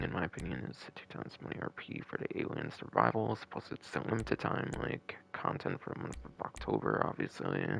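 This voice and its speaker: A man's voice: fairly low pitch, creaky, a bit bright. A man hosting a gaming live stream with casual, rambling, repetitive commentary and the odd swear word. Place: a room at home.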